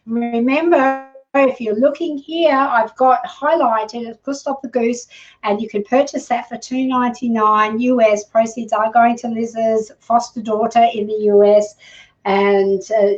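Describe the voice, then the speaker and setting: A woman's voice: a little high, fairly even intonation, measured pace, distant mic, lo-fi sound. A woman hosting a live shopping stream, chatting casually and encouragingly as she reads out viewer comments. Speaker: live shopping show host.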